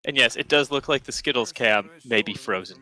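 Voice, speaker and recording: A man's voice: medium pitch, brisk, bright, forceful tone, no echo, rough recording. A man from Canada with a general North American accent, a podcast guest in casual chat, rambling and hesitant with lots of fillers.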